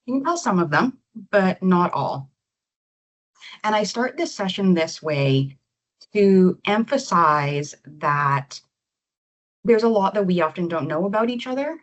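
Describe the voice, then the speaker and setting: A woman leading a recorded webinar session as an organization's executive director, speaking in a measured, deliberate way. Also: lowish voice, dull audio. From Canada.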